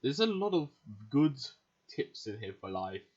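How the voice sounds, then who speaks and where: Husky, laid-back gaming commentator, muffled mic. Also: medium pitch, big pitch swings, a little slow, a little mellow. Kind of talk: casual reaction commentary over gameplay. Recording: muffled mic over game music.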